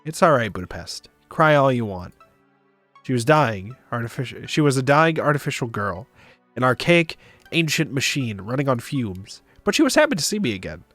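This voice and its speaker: A man performs a groggy, sentimental space traveller from a visual novel. His delivery swings widely in pitch, devoted and gentle, in a close, dry recording. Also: sing-song, moderate pace, no echo, soft background music. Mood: tender.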